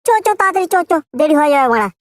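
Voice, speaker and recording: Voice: sits high, animated, racing, background noise. A performer voicing a cocky, foul-mouthed teenage schoolboy in a comic cartoon, brash, slangy and exclamatory. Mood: annoyed. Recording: music behind the voice.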